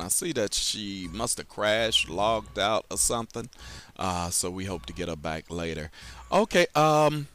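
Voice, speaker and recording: A man hosting a chat interview show, speaking in halting, hesitant phrases. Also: mid-range voice, a bit bright. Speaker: talk show host.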